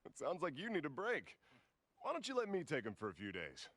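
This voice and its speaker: An actor playing an easygoing, rugged adventurer uncle in a video game cutscene. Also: male voice, on the high side, huge pitch swings, fairly quick, a bit bright, dry recording, full character voice. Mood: friendly.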